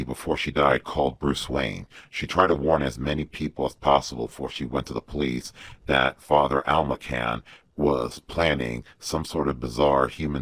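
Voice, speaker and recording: A grim superhero vigilante, with an extremely low voice. He is commanding and deliberate, set close and dry like an audio storybook. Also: a bit bright, no echo, exaggerated voice. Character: Batman, grim commanding adult male vigilante.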